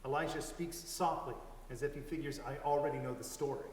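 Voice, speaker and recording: A man playing an earnest, observant young boy in a measured storybook reading. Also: mid-range voice, distant mic, natural voice. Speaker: reader in a dramatic story reading. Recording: echoey hall from afar, faint hiss.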